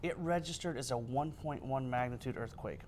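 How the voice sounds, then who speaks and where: A man's voice: medium pitch, moderate pace, darkish tone, a little hiss or hum. An American man, a TV weather forecaster, chatting casually on air and introducing the forecast. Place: TV news studio.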